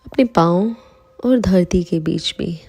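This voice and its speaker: A woman leading a guided meditation, calm and soothing, giving unhurried instructions with long pauses between phrases. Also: on the low side, breathy edge. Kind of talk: slow guided meditation in Hindi.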